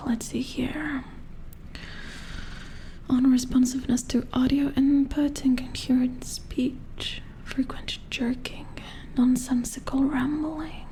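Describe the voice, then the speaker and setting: A woman playing a calm, matter-of-fact robot repair engineer in a soft-spoken ASMR roleplay, murmuring as if thinking aloud in a breathy whisper. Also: high-pitched, slight background noise, heavily acted. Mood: calm, musing. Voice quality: breathy whisper with creaky edges.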